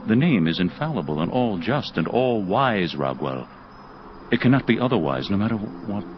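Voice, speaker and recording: An actor playing a proud, intense angel captain of the heavenly host in an audio drama, speaking in a formal, stately way. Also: male voice, fairly low pitch, big pitch swings, normal speed, slight background noise. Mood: fervent. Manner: formal, stately, contraction-free speech. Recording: muffled lo-fi audio drama with music. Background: prominent music.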